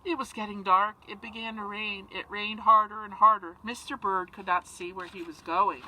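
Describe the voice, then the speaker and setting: A woman reading a children's story and chatting, expressive, with simple child-directed phrasing. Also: mid-range voice, normal speed, poor audio. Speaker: story-time reader for children.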